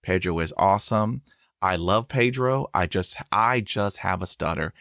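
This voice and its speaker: A man in his forties with a stutter talks in a lowish voice, repeating sounds and leaning on fillers as he hosts a casual podcast conversation. He is emphatic and upbeat about himself. The sound is dry and close, with no room around it. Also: normal speed, gravelly, a bit bright, no echo. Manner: emphatic, self-affirming. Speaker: podcast host. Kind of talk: casual interview chat.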